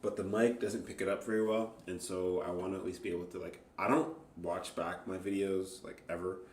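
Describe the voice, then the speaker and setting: A man's voice: deep voice, level tone, a little fast, a little mellow, roomy sound, a little hiss or hum. A man chatting casually and informally as an amateur musician, rambling in a self-deprecating way. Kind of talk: casual, rambling chat between songs.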